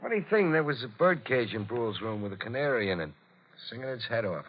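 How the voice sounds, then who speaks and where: An actor playing a dogged police lieutenant in an old radio thriller, probing in a folksy, offhand, insinuating way. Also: male voice, mid-range voice, lively intonation, fast, close to an everyday voice. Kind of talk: 1940s radio thriller acting. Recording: muffled, faintly noisy old radio recording.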